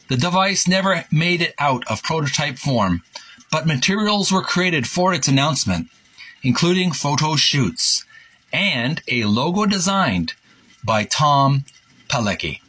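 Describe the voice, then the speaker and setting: A man hosting a retro gaming video, reading a book aloud in a halting, deliberate way with casual commentary. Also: a little high, sharp tone, breathy edge, poor audio. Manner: halting, deliberate, repeats himself.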